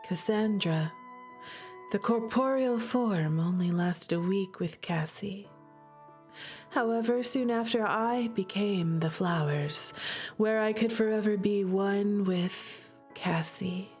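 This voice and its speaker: A woman acts out a devoted female lover reciting a tragic love poem from a game. Her delivery is very slow and solemn, each phrase drawn out, over soft music. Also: medium pitch, close to an everyday voice. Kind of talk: dramatic poetic reading.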